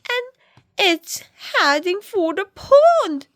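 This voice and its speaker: Slow, high-voiced woman reading a children's storybook. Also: very animated, dry recording, clean studio sound. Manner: dramatic, with shouts, whispers and drawn-out words. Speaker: children's picture book reader. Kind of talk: animated read-aloud storytelling for young children.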